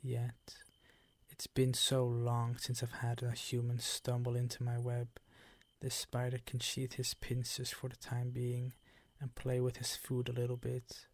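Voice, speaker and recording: A man voicing a predatory, possessive vampire count in an ASMR roleplay, with formal, teasing, commanding phrasing and a breathy voice that often sinks toward a whisper. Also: medium pitch, completely flat delivery, unhurried, darkish tone, no room sound, close to an everyday voice. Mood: teasing, hungry.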